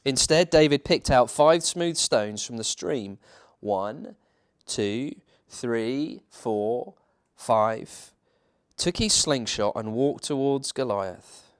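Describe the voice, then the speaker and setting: A man reading a children's bedtime story as a church minister, theatrical, with dramatic pauses and emphasis. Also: mid-range voice, lively intonation, clear, resonant tone.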